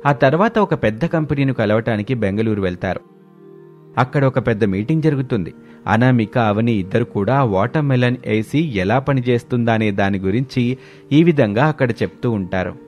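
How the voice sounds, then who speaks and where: A man narrating a family story in an even storytelling tone, with soft music behind. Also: medium pitch.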